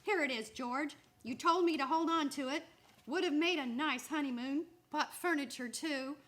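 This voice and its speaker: A woman's voice: high voice, normal speed, a bit bright. A woman playing a helpful, self-sacrificing young wife in a radio play. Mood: generous, wistful. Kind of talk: stage-style radio play acting.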